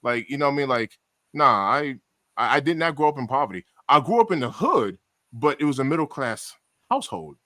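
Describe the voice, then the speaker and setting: A man's voice: medium pitch, big pitch swings, a little fast, bright, forceful tone, no echo. A man with a New York accent chatting casually on a livestream, emphatic and slangy, often repeating himself for effect. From Queens, New York, USA. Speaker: podcast panelist.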